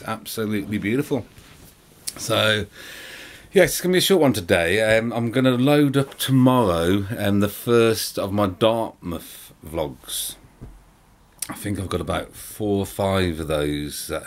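A middle-aged English man vlogging from his living room sofa, chatty and rambling with lots of fillers and restarts. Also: sits low. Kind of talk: casual vlog chat to camera. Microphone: camera in front, close framing.

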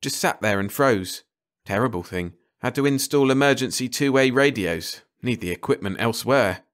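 A man voicing a brisk, enthusiastic, absent-minded ecologist in clipped phrases for an audiobook. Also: medium pitch, animated, fairly bright tone, natural voice. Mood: brisk, businesslike. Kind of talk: audiobook character dialogue.

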